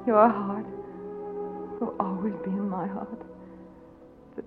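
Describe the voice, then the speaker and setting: A woman's voice: mid-range voice, level tone, slow, lightly acted. An actress playing a noble young queen torn between love and duty, in a 1940s radio drama. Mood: tender, sorrowful. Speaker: lead actress. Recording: tinny old radio broadcast with music. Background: orchestral score.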